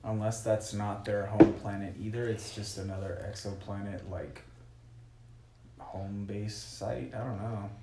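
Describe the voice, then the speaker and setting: A man's voice: lowish voice, little pitch movement, unhurried, echoey room, background noise. A man co-hosting a podcast, talking casually with friends in slangy language full of swearing. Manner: profane, slangy, says dude often. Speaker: podcast co-host. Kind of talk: casual movie discussion among friends.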